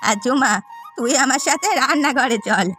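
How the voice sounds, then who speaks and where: Cartoon grandmother, voiced by a woman: elderly, kindly, gentle, drawn-out village speech, bright tone. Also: sits high, soft background music. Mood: fond, playful. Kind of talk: Bengali cartoon story voice acting.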